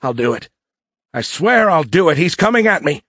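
Give the cadet , acted out by a male narrator, a voice pitched well up from a man's usual. He is a young furry alien bully in a storybook, full of gloating bluster that frays easily. The sound is close and dry. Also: animated, normal speed, fairly bright tone, no room sound. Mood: panicked, threatening. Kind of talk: audiobook character acting.

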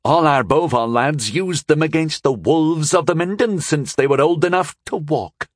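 A man's voice: a little high, normal speed, dry recording, studio quality, pitched above the performer's own voice, close to an everyday voice. A man voicing a proud, saucy frontier noblewoman in an audiobook, speaking with confident defiance.

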